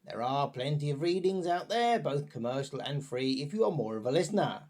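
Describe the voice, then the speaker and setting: A man's voice: a little high, big pitch swings, a little fast, a little mellow. A man presenting a scripted review in a chatty, tongue-in-cheek manner with playful asides.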